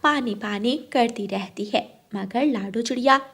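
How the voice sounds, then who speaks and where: A woman with a high-pitched voice narrates a children's cartoon. She reads from a script as the storyteller. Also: measured pace, vocal fry, fairly bright tone.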